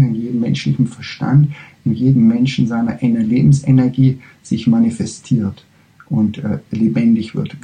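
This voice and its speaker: A man giving a freely spoken spiritual lecture, haltingly, often searching for words and correcting himself. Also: medium pitch, mellow, poor audio.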